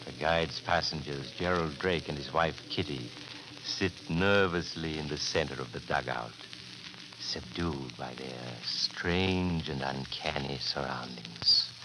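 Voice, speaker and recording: An actor playing a mysterious horror host, narrating solemnly and ominously in a 1940s radio drama. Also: male voice, a bass voice, takes it slowly. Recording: noisy, crackling 1940s radio recording.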